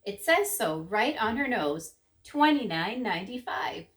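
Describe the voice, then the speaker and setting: A woman's voice: mid-range voice, sing-song, unhurried, a bit bright. A woman voicing an insistent, officious male cashier in a children's story.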